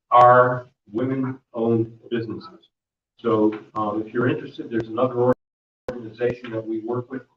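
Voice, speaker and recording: A man with a booming voice presenting at a community workshop, talking loosely with many fillers and restarts. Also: a little hiss or hum. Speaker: volunteer mentor presenting a workshop. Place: meeting room. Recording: dull, echoey room audio from far off.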